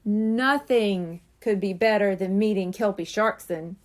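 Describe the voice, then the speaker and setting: A woman's voice: mid-range voice, measured pace, close to an everyday voice. A woman voicing a sweet, earnest young girl kitten in a children's storybook.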